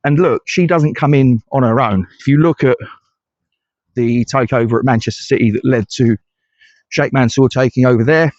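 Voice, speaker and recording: A man's voice: mid-range voice, normal speed, darkish tone, dry recording. A middle-aged English man talks bluntly through his views in solo fan commentary, often stopping to restart a thought. The recording is dry with no room sound, and it comes across slightly dull and lo-fi. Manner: blunt, conversational, hesitant restarts. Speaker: fan channel host.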